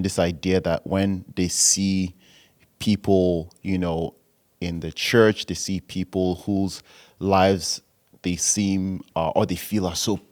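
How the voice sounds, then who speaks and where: Deep-voiced man hosting a church podcast. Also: darkish tone. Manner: conversational, with frequent restarts and drawn-out phrases. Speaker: podcast host. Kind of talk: casual church podcast interview.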